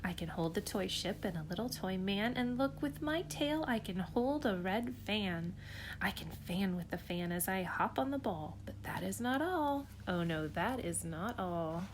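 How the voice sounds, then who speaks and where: A woman's voice: medium pitch, lively intonation, measured pace, a little hiss or hum, close to an everyday voice. Woman putting on a showy trickster cat. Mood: boastful. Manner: boastful, coaxing. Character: mischievous grown male cat, showy trickster.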